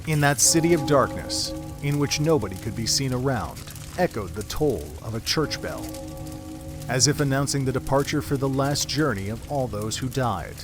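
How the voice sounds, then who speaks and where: A man's voice: medium pitch, animated, normal speed, over music. A man giving solemn, literary scripted narration for an audio drama.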